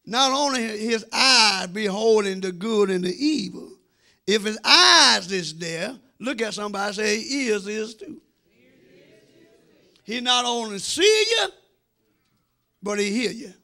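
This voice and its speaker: A man preaches in a very high, piercingly bright voice with gravel in it. He is emphatic and repeats his phrases, swinging between loud declarations and quieter asides as he calls on the church to respond. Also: lively intonation, measured pace, pauses often. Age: middle-aged. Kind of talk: animated sermon with call and response. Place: church sanctuary, speaking from the front. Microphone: handheld microphone.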